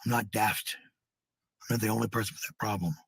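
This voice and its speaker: A woman with a very raspy, unclear voice talks casually to her followers. She is defensive and blunt, and she swears freely. The sound is dry, with little room to it. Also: rumbling low, fairly even intonation, fast talker, no echo. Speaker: livestreamer.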